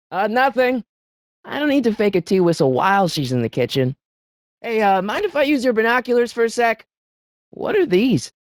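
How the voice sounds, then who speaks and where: Video game teen hero: earnest, anxious American boy, widely swinging pitch, dry close sound. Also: dry recording. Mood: reading, pondering. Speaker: voice actor. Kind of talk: video game character voice acting.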